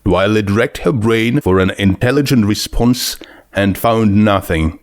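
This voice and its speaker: A man's voice: lowish voice, unhurried, no echo, studio quality. A man reading a scripted story aloud as narrator. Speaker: narrator of a fan fiction reading.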